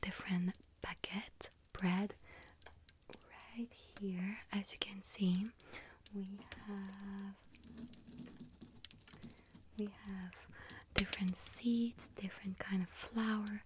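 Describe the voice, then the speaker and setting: A woman playing a sweet-toothed, friendly little witch who tends a bakery, in a whispered ASMR roleplay, speaking gently and savoringly in a breathy whisper with small hesitations. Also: medium pitch, flat delivery, slow pace, long pauses, background noise, close to an everyday voice. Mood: gentle, helpful. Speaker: ASMR roleplay performer as bakery shop assistant.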